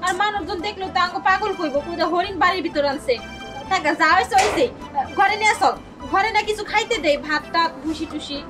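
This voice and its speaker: A woman's voice: extremely high pitch, fast. A woman playing an anxious, caring village mother in a children's fairy-tale film, fussing colloquially. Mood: fussing, kindly. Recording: music playing behind the voice.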